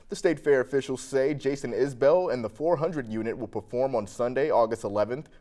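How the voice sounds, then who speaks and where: A man's voice: mid-range voice, darkish tone. An American man reading scripted local news as an anchor.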